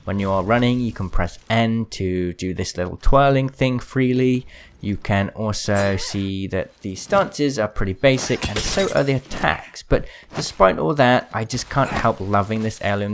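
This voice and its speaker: A man's voice: fairly low pitch, background noise. A man giving casual, explanatory commentary as the creator of a gaming video.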